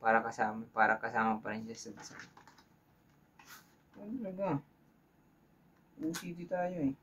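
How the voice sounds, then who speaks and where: A man's voice: medium pitch, expressive, normal speed, fairly bright tone, dull audio, a little hiss or hum. A man with a Filipino accent hosts a hands-on tutorial in an informal way, thinking aloud and checking in with viewers. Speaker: tutorial host. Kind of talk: casual hands-on tutorial talk.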